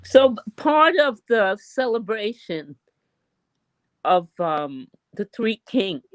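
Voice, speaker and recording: Slow-speaking woman from Puerto Rico. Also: mid-range voice, big pitch swings, no echo. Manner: slow, gentle recounting of a childhood custom. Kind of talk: casual storytelling on a video call. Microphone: video call.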